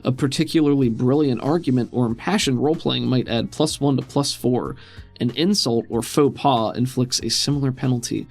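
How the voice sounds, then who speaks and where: A man's voice: medium pitch, normal speed, darkish tone. Male game master, casual explanatory talk, tabletop session, mid-range voice, music behind.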